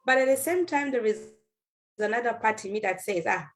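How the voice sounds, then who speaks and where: A woman's voice: on the high side, animated, a little fast. A woman in a coaching chat who talks hesitantly, restarting her sentences as she reflects on her doubts. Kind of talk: conversational chat.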